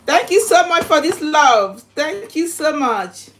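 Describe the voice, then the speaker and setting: A woman chatting casually to her livestream viewers while unboxing, halting and repeating herself, recorded in a home kitchen. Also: high voice, very animated. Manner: halting, repetitive, chatty delivery. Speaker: livestream vlogger. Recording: noisy home recording with clicks.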